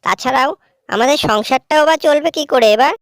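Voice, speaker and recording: A woman's voice: high voice, normal speed, dry recording, clean studio sound, lightly acted. A woman voicing an anxious village farmer's wife in a cartoon, with a questioning manner. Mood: worried.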